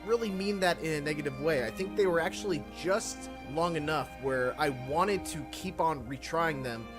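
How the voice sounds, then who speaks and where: A man with a fairly high voice walks through his game impressions in a loose, conversational way, dropping in fillers as he goes. A clear music bed plays under him throughout. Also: normal speed. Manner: relaxed, conversational, with frequent uh fillers. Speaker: games journalist. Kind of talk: casual gameplay impressions feature.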